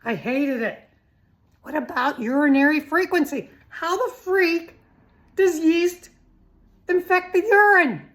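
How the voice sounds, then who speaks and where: A woman's voice: very high voice, slow, long pauses, fairly bright tone. A middle-aged woman giving an informal, chatty health talk, blunt and teasing, firing rhetorical questions at the listener. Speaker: health vlogger.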